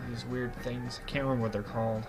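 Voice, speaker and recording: A young man with a starting cold, a bit sniffly, chatting easygoingly as he hosts a game playthrough from a small quiet closet. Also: mid-range voice, level tone, fast talker. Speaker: let's play host. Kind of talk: casual gameplay commentary. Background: faint swing game music.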